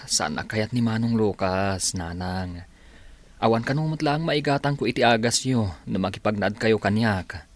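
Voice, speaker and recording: A man's voice: on the low side, lively intonation, moderate pace, close to an everyday voice. A man playing a poor, humble younger brother in a radio drama, meek and apologetic, with an Ilocano accent. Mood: pleading. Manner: meek, pleading.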